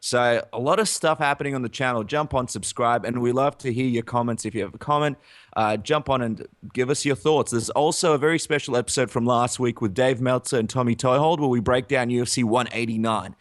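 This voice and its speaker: A man's voice: mid-range voice, a little fast, dry recording, clean studio sound. A man from Ukraine co-hosting a combat sports podcast in casual chat, laughing often as he talks. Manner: chatty, laughs often, promotes channels. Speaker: podcast co-host.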